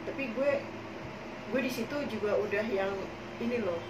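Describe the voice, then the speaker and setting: A young woman chatting casually, hoarse and coughing, rambling with many fillers as she tells her own experiences. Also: mid-range voice, normal speed. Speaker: podcast co-host telling her own experiences. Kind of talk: casual chat in Jakarta slang. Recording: echoey room, far from the mic, noisy.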